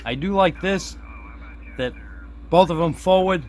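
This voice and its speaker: A man's voice: high voice, unhurried. A man giving a casual hands-on demo, thinking aloud in an easygoing way as he presents his hobby project. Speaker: hobbyist presenter showing a radio modification. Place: indoors at a workbench. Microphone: camera on a tripod nearby. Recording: steady background noise, room ambience.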